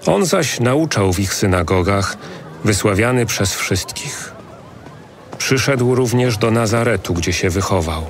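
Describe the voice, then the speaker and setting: A man narrating scripture as a scripted audio drama, solemn and deliberate in his delivery. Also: lowish voice, lively intonation, slight background noise. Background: music.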